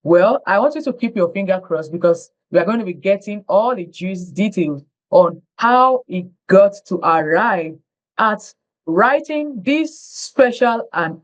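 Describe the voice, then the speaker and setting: A woman's voice: mid-range voice, muffled recording. A woman hosting an interview, effusive and stretching her words for emphasis over a video call. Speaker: interview host.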